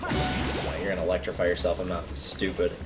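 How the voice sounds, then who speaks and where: A man with a fairly low voice talks through a video game on a livestream. He rambles casually and thinks aloud as he plays. Music plays behind his voice. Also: little pitch movement, brisk.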